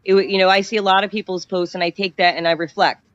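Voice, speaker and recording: A woman's voice: medium pitch, level tone, quick pace. A woman talking casually and reflectively on an online group call. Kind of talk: casual group livestream chat. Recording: dull, lo-fi online call audio.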